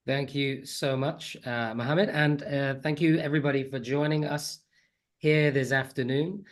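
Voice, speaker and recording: A man's voice: mid-range voice, fairly even intonation, moderate pace. A man with a slight cold giving a chatty, friendly online conference talk as a teacher trainer, on a computer mic over a video call. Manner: friendly, chatty, self-deprecating.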